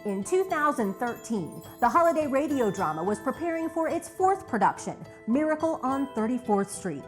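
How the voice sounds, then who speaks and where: A woman's voice: sits high, big pitch swings, normal speed, faint music behind. A woman narrating a scripted documentary retrospective as its host.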